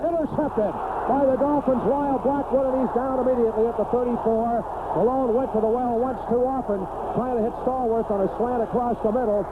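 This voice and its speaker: A man calling American football play-by-play live on the radio. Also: very high-pitched. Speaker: play-by-play sports announcer. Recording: noisy tinny 1980s radio broadcast.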